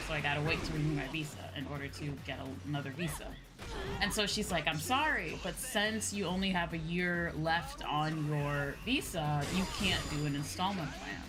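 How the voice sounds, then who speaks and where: A young woman chatting casually on a game livestream, rambling, expressive and informal, swearing freely. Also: low voice. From outside Japan. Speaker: VTuber game streamer. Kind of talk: casual livestream chat over a video game. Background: game music.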